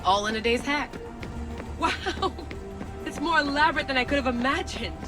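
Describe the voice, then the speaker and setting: An actress playing a bold, wry young hacker-explorer in a video game, informal and confident. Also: female voice, high voice, expressive, normal speed. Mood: awed, determined. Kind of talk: naturalistic video game acting. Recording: music behind the voice.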